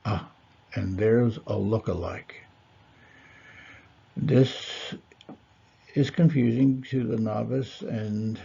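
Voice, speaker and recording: A man giving a slow, measured Torah lesson, pausing often with fillers. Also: fairly low pitch, creaky, dark tone, slightly rough, dull audio. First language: not Hebrew. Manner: measured, deliberate, with fillers and pauses. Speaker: Torah teacher. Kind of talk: slow lecture.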